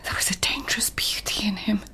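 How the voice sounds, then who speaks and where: A woman's voice: fairly quick, sharp tone, whispered, natural voice. A woman playing a proud, melancholy Japanese court lady in a radio drama, speaking in a dry, world-weary way. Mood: brooding.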